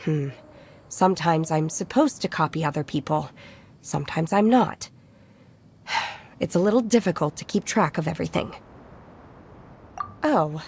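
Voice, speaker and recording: A woman voicing a serene, literal-minded young mountain disciple in an anime-style video game, formal, measured and earnest. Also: on the low side, a little mellow, slight background noise. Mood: puzzled, earnest. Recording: music faintly behind the voice.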